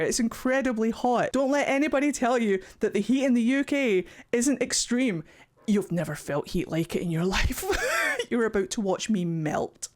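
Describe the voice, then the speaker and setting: Upbeat Scottish guy reacting to clips. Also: high voice. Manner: animated, encouraging, swears casually. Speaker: YouTube reaction host. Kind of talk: casual reaction commentary. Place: warm home office.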